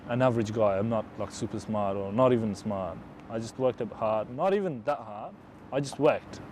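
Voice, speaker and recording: A man's voice: mid-range voice, noisy background. A young man from Afghanistan in his twenties, chatting casually in a documentary interview with many fillers. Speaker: interviewee, engineering student.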